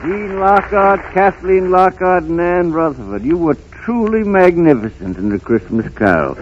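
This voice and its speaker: A man speaks formally in 1930s radio style. His voice is slightly high, and the old recording sounds dull. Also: animated, moderate pace, slight background noise.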